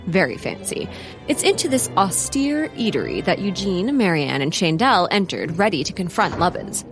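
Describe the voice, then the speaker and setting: A woman's voice: fairly low pitch, huge pitch swings, moderate pace, music in the background. A woman playing a pedantic, digressive historian who narrates a comic audio drama in a matter-of-fact manner. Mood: pedantic, wry.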